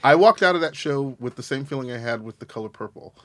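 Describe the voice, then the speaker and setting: A man's voice: mid-range voice, animated, quick pace, fairly bright tone, dry recording. Flamboyant, exclamatory man hosting a chat. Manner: flamboyant, exclamatory, theatrical asides. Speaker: host. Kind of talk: casual chat. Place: studio.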